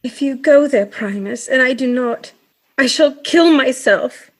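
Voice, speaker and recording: A woman's voice: high voice, a little slow. A woman playing a timid but devoted young female robot in a radio drama, with formal delivery. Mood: pleading. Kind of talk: old-time radio drama acting.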